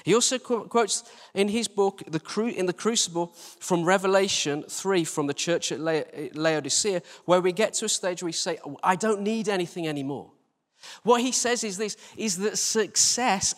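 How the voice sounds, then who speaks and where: A man with a British accent and a high-set voice preaches informally in a very echoey hall. He talks to the congregation conversationally, pokes fun at himself, and leans on repeated phrases and rhetorical questions. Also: fairly bright tone. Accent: British English. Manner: conversational, self-deprecating, with rhetorical questions and repetition. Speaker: church team leader preaching. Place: large reverberant indoor church space. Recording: live church hall, long reverberation.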